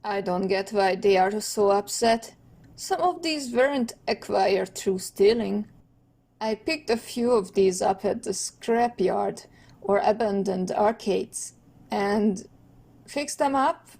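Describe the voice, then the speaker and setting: Woman voicing a pompous teenage turtle boy inventor, slow-talking. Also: mid-range voice, vocal fry, a little mellow, pitched below the performer's own voice. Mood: defensive. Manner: pompous, wordy, theatrical. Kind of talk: cartoon comic dub acting.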